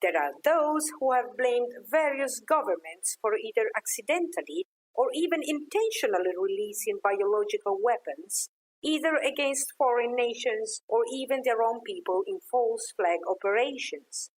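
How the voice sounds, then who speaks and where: A woman's voice: on the high side, expressive, rough recording. A woman playing an earnest field news reporter in an audio drama, formal and composed. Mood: serious, formal. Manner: formal, measured broadcast delivery.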